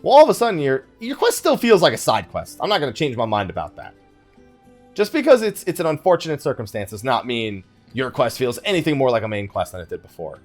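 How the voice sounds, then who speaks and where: A man's voice: medium pitch, huge pitch swings, fast talker, faint music behind. A man giving casual let's play commentary, thinking aloud in a wry, conversational way. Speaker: gaming YouTuber, host of the let's play.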